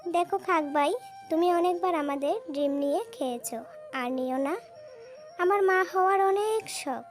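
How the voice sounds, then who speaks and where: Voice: extremely high pitch, unhurried. A performer voicing a small, anxious female bird in a cartoon story, with a plaintive manner. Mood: pleading, sad. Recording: music behind the voice.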